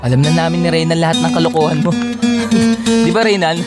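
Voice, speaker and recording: An actor plays a young male sidekick in an audio drama. He is jokey and slangy, forever needling his pals. Music is clearly heard behind the voice. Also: on the high side, brisk, natural voice. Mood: teasing. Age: young adult. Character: playful, nagging young male buddy.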